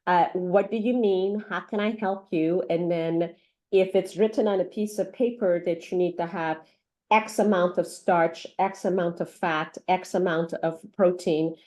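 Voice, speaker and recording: Middle-aged woman physician from Iran, non-native English, earnest and reflective, voice on the low side. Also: steady tone, unhurried, dark tone. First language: not English. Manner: earnest, many fillers and restarts. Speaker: pediatric doctor. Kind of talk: interview conversation.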